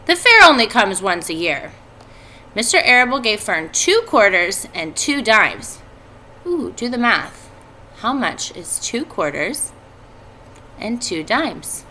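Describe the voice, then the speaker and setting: A woman in her thirties, a teacher reading a storybook aloud to pupils, expressive and clearly phrased. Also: mid-range voice, slow pace, long pauses, slight background noise, low-quality recording. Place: indoors at home by a fireplace.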